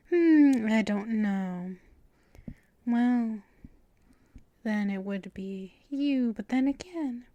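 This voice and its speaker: A woman voicing a possessive, teasing female vampire mistress in an ASMR audio roleplay, half-whispering in a breathy, intimate way. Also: fairly high pitch, dark tone, no echo.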